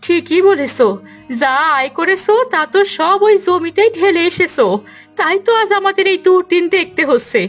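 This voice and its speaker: Very high-pitched scolding village housewife. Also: female voice, expressive, moderate pace. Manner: scolding, sharp-tongued, lamenting. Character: harried, bitter poor village housewife and mother. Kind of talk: Bengali cartoon voice acting. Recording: music behind the voice.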